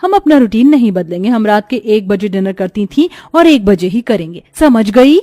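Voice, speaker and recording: A woman's voice: medium pitch, expressive, moderate pace, warm tone, no echo, studio quality, natural voice. A woman voicing a bossy, spiteful young stepsister in a melodramatic storybook tale, taunting and haughty.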